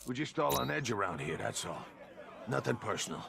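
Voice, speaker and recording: Casting: an edgy game cop, gravelly and lowish, crowd and noise behind. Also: male voice, very animated, fairly quick. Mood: apologetic. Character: gruff, edgy but apologetic male police officer. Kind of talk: video game voice acting. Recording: noisy room with crowd.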